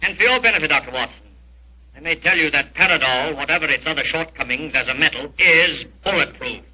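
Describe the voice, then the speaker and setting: Sharp, raspy, suave British villain. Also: male voice, on the high side, fairly quick, full character voice. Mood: smug. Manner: silky, mockingly courteous, theatrical. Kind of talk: 1940s radio drama acting. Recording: dull old 1940s radio recording.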